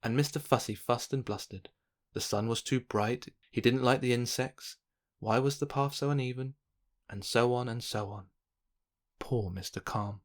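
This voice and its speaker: A man narrating a children's bedtime story in an expressive, measured read-aloud style. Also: medium pitch.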